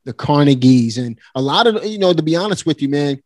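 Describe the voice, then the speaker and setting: A man's voice: on the high side, expressive, fairly quick, a little mellow, no room sound, studio quality. A man in his forties talking casually and directly to listeners in a motivational way. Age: 40s. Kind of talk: casual conversation.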